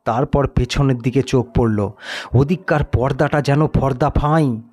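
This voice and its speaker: A man's voice: mid-range voice, unhurried, a little mellow. A man narrating a scripted story in a dramatic storytelling style.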